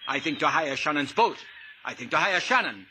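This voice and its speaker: An actor playing a crippled, servile ex-diver with wounded dignity, speaking florid broken English in a 1950s radio drama. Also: male voice, on the high side, expressive, brisk, sharp tone. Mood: bitter, servile. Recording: noisy old radio recording.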